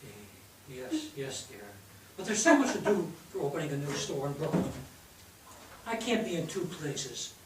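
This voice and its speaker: A man's voice: fairly high pitch, sing-song, moderate pace, a crowd in the background. An actor in a staged reading playing a tired, fretful father who runs a business, stammering and repeating himself. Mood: weary, fretful. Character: harried, tired old father running ice cream business. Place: theatre stage, recorded from a distance. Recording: echoey stage, distant mic, faint noise.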